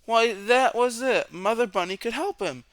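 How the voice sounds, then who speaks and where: A man reading a children's storybook aloud as a storyteller, with expressive narration, dramatic questions and drawn-out words for young listeners. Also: extremely high pitch, no room sound.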